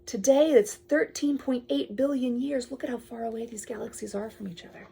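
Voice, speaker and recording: A woman reading aloud to a child with chatty asides, expressive and full of wonder, often dropping to a whisper. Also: a little high, a little fast, creaky, slightly rough.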